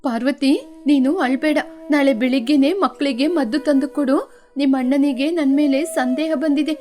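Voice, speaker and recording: A devoted young wife, kind and anxious, speaking earnestly in a high voice, as a woman storyteller plays her. Soft music plays faintly behind. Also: moderate pace, close to an everyday voice. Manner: earnest, stammering when afraid.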